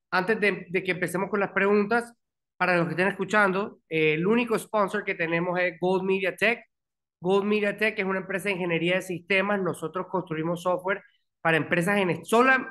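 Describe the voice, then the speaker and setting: A man's voice: high voice, sharp tone. A man in his thirties hosting an interview over a video call, often restarting and repeating words. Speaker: podcast host. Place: small room at home, indoors.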